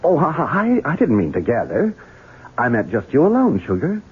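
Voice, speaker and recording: An actor playing a smooth-talking, devious Southern gentleman in a radio drama, coaxing with syrupy endearments. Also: medium pitch, wildly swooping pitch, moderate pace, heavily acted. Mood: coaxing, ingratiating. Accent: Southern American. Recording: muffled, hissy old radio broadcast.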